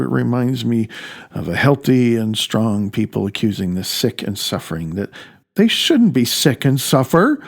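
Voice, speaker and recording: A man's voice: mid-range voice, creaky. A man narrating his own audiobook as its author, in a conversational way with wry, sardonic asides.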